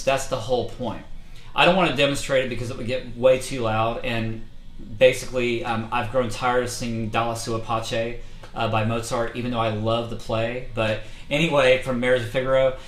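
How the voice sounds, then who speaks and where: A man teaching singing and breathing in a relaxed, conversational way, with many fillers, streamed live from indoors. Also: mid-range voice, roomy sound. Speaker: vocal coach. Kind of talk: casual instructional talk. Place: indoors, livestream setup.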